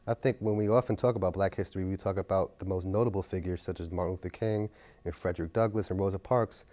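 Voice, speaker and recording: A man, a city council member, speaking earnestly and at a measured pace in an interview. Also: low-pitched, fairly even intonation, mellow. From New York. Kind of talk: interview reflection.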